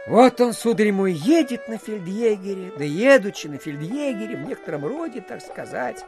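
A man's voice: high voice, animated, moderate pace, music in the background. An actor playing a garrulous, self-important provincial official who rambles through his stories with filler phrases, in an audio drama. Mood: animated storytelling. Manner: digressive, full of filler phrases. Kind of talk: theatrical audiobook radio play.

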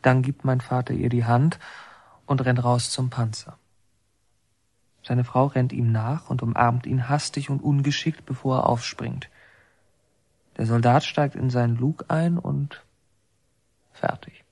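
A son narrating his childhood in a radio drama. The voice is husky and mid-pitched, recorded dry. He observes each detail in literary present tense. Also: fairly even intonation, unhurried, long pauses, darkish tone, dry recording. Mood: observant, restrained. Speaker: first-person narrator.

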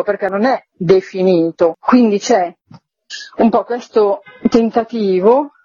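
A woman's voice: mid-range voice, warm tone, husky, no echo. Woman presenting theatre programme, dull audio. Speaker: theatre artistic director, guest. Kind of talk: radio interview, presenting a programme. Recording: dull lo-fi radio interview audio.

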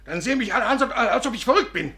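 An actor playing an agitated, suspicious captive inventor with a nervous, stammering delivery in a 1950s radio play. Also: male voice, very high voice, expressive, rapid-fire, sharp tone, heavily acted. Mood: agitated, bitter. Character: agitated, suspicious captive male inventor near breaking point.

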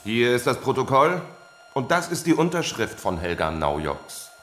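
Radio drama villain: aggressive interrogator, animated and insulting, echoing room, faint noise. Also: male voice, medium pitch, moderate pace. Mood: cold, triumphant. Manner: threatening, insulting. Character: aggressive, bullying secret police interrogator. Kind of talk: radio play acting.

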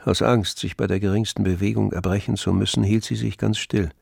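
A man narrating a literary radio play in a measured, sober storytelling manner. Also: fairly low pitch, little pitch movement, gravelly, darkish tone, slightly rough, dry recording. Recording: close dry studio, music underneath.

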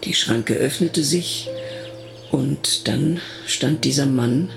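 A man with a gravelly voice narrating a radio drama in the first person, reminiscing in a conversational way. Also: mid-range voice, takes it slowly, over music.

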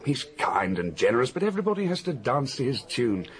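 An actor with an English accent playing an easygoing, cynical widower in a radio drama, frank and flippant in manner. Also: male voice, medium pitch, big pitch swings, brisk, close to an everyday voice. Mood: frank, resentful. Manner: flippant, candid, wry. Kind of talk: British radio drama acting.

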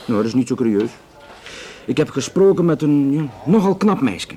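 An actor with a Flemish accent playing a matter-of-fact, talkative Belgian police investigator in a naturalistic radio drama, explaining conversationally with some hesitation. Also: male voice, mid-range voice, big pitch swings, normal speed, dark tone, lightly acted. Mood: matter-of-fact, narrating.

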